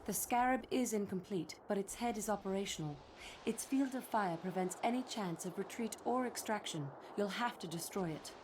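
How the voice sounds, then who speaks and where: A woman's voice: mid-range voice, moderate pace, noisy background, natural voice. A woman voicing a composed military artificial intelligence in a game, giving precise, informative briefings. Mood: urgent briefing.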